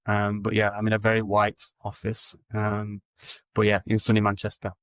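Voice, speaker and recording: Low, flat-voiced man. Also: moderate pace, clear, resonant tone, no room sound. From England. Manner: relaxed, steady, frequent ums. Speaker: guest, recruitment founder. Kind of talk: casual interview answers.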